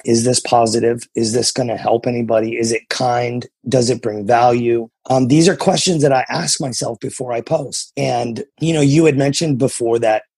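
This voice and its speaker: American male coach, husky with a creaky edge, earnest and emphatic in conversational motivational delivery. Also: mid-range voice, a little mellow, dry recording. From New England, USA. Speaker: coach and entrepreneur, interview guest.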